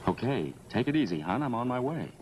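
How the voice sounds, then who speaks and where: Actor as a blunt, animated regular guy. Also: medium pitch, a little fast, natural voice. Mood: breezy. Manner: colloquial, blunt. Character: breezy, blunt, skeptical American husband. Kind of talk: old-time radio drama acting. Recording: noisy old radio recording.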